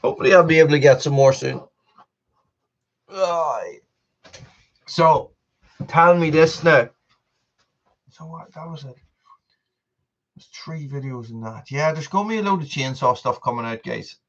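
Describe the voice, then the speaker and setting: A man's voice: fairly high pitch, unhurried, long pauses, highs cut off. A man, hosting a casual live stream and chatting to viewers. He rambles, repeats himself and laughs often. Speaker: channel host on a live stream.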